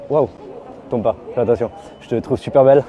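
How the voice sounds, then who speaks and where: Very dark-voiced man flirting casually. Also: medium pitch, a little fast, lo-fi sound. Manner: flirty, slangy, teasing. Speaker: man approaching a stranger. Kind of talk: casual street chat. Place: metro passageway.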